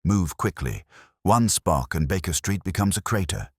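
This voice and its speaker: A man's voice: low-pitched, animated, moderate pace, breathy edge, no room sound, studio quality, exaggerated voice. A man voicing a coolly precise, commanding Victorian detective with curt, cerebral delivery in an audiobook. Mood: urgent. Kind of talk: audiobook character dialogue.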